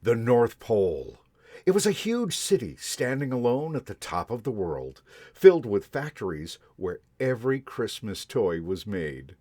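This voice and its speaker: A man in a Santa persona reading a picture book aloud to children in a gentle, expressive way. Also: medium pitch, a little slow, dry recording, studio quality. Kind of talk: storybook read-aloud to children.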